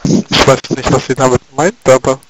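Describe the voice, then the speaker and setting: A man's voice: mid-range voice, little pitch movement, moderate pace, rough recording. A German man joking around in game chat with playful mock announcements. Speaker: gamer. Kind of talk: joking gameplay chat through an in-game megaphone.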